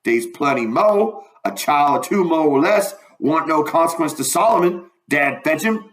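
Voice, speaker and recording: A man's voice: on the high side, expressive, normal speed, pitched above the performer's own voice, natural voice. A man voicing a stubborn, uneducated runaway in a storybook reading, with a folksy Southern US dialect and an emphatic, argumentative manner. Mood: insistent. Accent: Southern US dialect, put on. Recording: roomy, echoing room.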